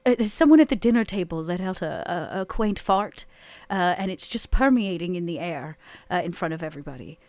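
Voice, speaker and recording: A woman with a slightly low voice talks casually as a player in a tabletop roleplaying game. She describes what her character does, pausing and thinking aloud as she decides. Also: animated, darkish tone.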